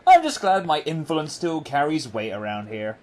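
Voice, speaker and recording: A man's voice: a little high, very animated, normal speed, a little mellow. A man playing a wry, self-assured, wordy agent in an audio drama. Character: wry, self-assured male agent, loyal, dryly teasing. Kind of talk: fan audio drama acting. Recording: faint background noise.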